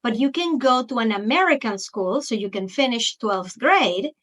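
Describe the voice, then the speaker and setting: A woman in her forties from Venezuela with a Venezuelan Spanish accent, a Spanish speaker, chatting as a guest in an interview, animated, with quick restarts. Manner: animated, quick restarts, jumps into high-pitched quoted asides. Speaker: guest, marketing expert. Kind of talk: casual interview chat.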